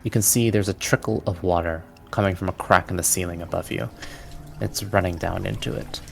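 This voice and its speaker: A man narrating a tabletop fantasy game as game master, in a deliberate, descriptive storytelling manner. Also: sits low, fairly even intonation, creaky.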